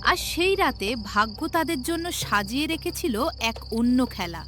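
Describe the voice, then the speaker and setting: A woman delivering scripted, dramatic narration for an animated story as its storyteller. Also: sits high, moderate pace. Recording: music behind the voice.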